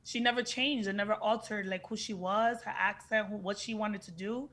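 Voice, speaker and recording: A woman's voice: medium pitch, steady tone, moderate pace, fairly bright tone. A young woman with a Dominican-tinged accent, talking frankly and emphatically as an actress guest over a video call, now and then swearing. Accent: Dominican Spanish-tinged English. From Dominican heritage. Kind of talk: casual podcast interview. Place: indoor room with plain backdrop.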